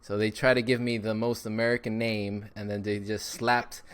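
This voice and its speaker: A man's voice: fairly low pitch, level tone, moderate pace, smooth. A man in his thirties from Miami, chatting as an upbeat podcast guest with lots of fillers. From Miami, Florida. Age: 30s. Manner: upbeat, with frequent fillers and repeats. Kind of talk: casual interview chat.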